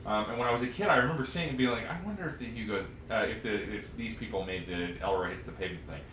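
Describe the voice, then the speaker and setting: Low-voiced man in his thirties, echoey room. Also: very fast, recorded from a distance. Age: 30s. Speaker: podcast co-host. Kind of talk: casual chat about old games. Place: echoey room, live stream podcast recording. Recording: roomy echo, noticeable background hiss.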